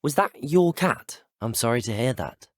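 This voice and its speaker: A polite, eager student wizard from a video game, acted by a young man, with an English accent and a medium-pitched voice. His delivery is earnest and well-spoken, with lots of melodic movement. The sound is dry and studio-clean. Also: expressive, normal speed, no echo, close to an everyday voice. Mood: earnest, curious. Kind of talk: scripted game dialogue lines.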